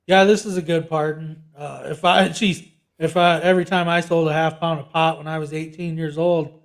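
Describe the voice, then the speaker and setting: Rambling man with a slightly high voice. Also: flat delivery, moderate pace. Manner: rambling, half-laughing asides, trails off. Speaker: podcast co-host. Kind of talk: casual political podcast chat.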